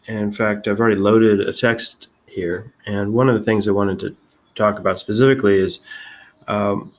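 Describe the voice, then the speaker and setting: A man giving a casual software demonstration as a tech video host, halting and thinking aloud as he goes. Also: lowish voice, creaky.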